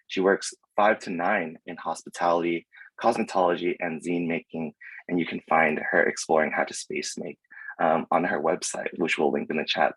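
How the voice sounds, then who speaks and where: A man moderating over a video call, reading introductions from a script with a few fillers. His voice is very deep and husky, and the sound is close and dry. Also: no echo, low-quality recording. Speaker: event moderator.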